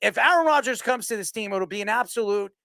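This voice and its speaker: Sports radio type host, gravelly creaky voice, super emphatic and opinionated, dry close sound. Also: medium pitch, lively intonation, fast, sharp tone, dry recording. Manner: emphatic, declamatory. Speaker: sports talk show host.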